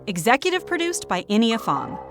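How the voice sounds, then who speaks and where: A woman announcer reads scripted credits. Her voice is slightly high and animated, with prominent music behind it. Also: a little fast.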